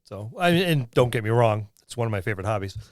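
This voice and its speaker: Middle-aged man, casual podcast co-host. Lively varied intonation, rambling jocular talk, very dry studio-like sound. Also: medium pitch, quick pace, no echo. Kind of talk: casual jokey chat, loosely walking through a book.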